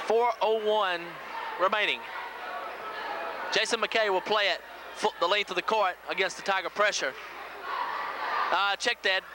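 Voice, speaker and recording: Male local-radio sportscaster, high voice, terse basketball play-by-play, noisy old broadcast tape. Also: a little slow, long pauses. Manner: clipped short phrases, excited on big plays. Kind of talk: live basketball play-by-play commentary. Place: high school gym. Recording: noisy 1980s local FM radio audio from VHS. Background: loud crowd, bouncing ball, gym noise.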